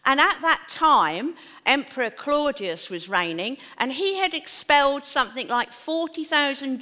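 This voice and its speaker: A woman's voice: high-pitched, bright, forceful tone. A woman, a teacher, giving a sermon in a church in a chatty, conversational way. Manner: chatty, self-deprecating storytelling before preaching. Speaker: preacher. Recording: long echo of a church hall.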